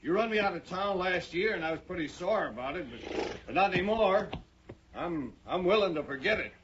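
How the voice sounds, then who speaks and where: An actor playing a sly, sullen gunslinger in a 1950s radio western, stammering with false friendliness. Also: male voice, high-pitched, animated, fairly quick, background noise. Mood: wheedling. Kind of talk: 1950s radio drama acting. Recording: muffled old radio broadcast.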